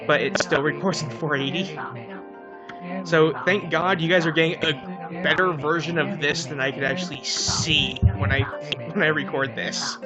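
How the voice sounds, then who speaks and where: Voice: medium pitch, steady tone, moderate pace. A let's play co-host chatting casually about a game, often stammering at the start of sentences. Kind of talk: casual gaming commentary. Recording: dull lo-fi mic, music behind. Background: game music.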